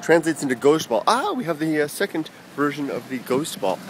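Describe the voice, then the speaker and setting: A man from the United States rambling through viewer comments in a casual vlog, halting with many fillers and restarts. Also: a little high, big pitch swings, background noise. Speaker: vlogger answering viewer comments.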